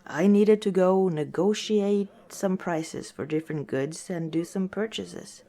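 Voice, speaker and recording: A woman playing a friendly, teasing orc tavern owner in a softly spoken ASMR roleplay, chatting gently and without hurry. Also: fairly low pitch, a little slow. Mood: friendly, chatty.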